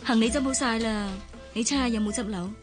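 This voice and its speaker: A woman plays a young Hong Kong Cantonese fiancée in a radio drama. She sounds girlish, devoted and caring, in a fairly high voice with soft music faintly behind her. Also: a little fast, lightly acted.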